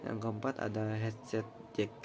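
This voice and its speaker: A young man gives a student presentation in a lowish, very flat voice. He explains haltingly and slips in colloquial asides, with clear background noise on the recording. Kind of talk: informal slide presentation. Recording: clearly noisy room recording.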